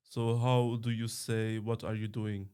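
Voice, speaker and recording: A man hosting a casual interview, asking questions in simple, halting English. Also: fairly low pitch, level tone, dark tone, clean tone. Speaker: podcast host, interviewer.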